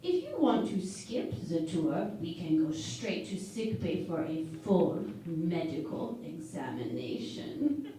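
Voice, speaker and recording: A woman's voice: on the low side, big pitch swings, dark tone. A woman playing a breezy, suggestive chief medical officer aboard a starship in a parody radio play. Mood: cheeky, breezy. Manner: unhurried, suggestive, breezily reassuring. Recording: very echoey room, distant mic, faint noise.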